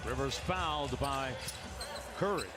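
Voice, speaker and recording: A man's voice: medium pitch, unhurried. A man doing live play-by-play commentary of a basketball game for television. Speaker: play-by-play announcer.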